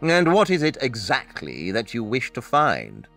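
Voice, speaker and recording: A man's voice: mid-range voice, wildly swooping pitch, a little slow, vocal fry, fairly bright tone, faint music behind, full character voice. A man playing a blunt, sceptical detective in an audio drama, with a dry wit and theatrical manner.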